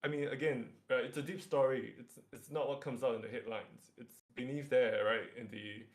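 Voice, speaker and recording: A man's voice: medium pitch, fairly even intonation, normal speed. A man from Singapore with a Singaporean accent, leading a workshop as an informal lecture through slides, with hesitant phrasing and frequent check-in tags. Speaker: workshop facilitator.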